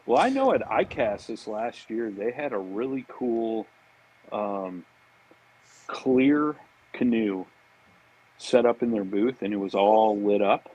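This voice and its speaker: A man's voice: fairly low pitch, lively intonation, takes it slowly, a little mellow, slightly rough, poor audio. An American man chatting casually as a podcast guest, laid-back and informal. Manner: laid-back, informal, repeats agreement words. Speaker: podcast guest, fishing industry marketer. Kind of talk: casual chat.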